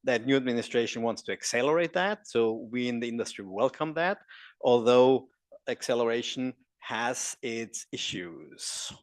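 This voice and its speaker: A man's voice: mid-range voice, animated, normal speed, dry recording. A man with an Austrian German accent, a native German speaker, presenting at a conference and joking with the audience. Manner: jokey, engages the audience with questions.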